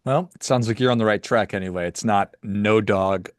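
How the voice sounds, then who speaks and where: A man's voice: fairly low pitch, normal speed, no room sound, clean studio sound. A man hosting a podcast, chatting casually and asking playful, teasing questions. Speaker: podcast host. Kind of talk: casual interview chat.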